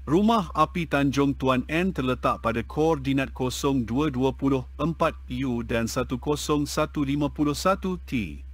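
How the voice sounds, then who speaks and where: Male reader, mid pitch, measured textbook reading, dry sound. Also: no room sound. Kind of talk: reading a text aloud.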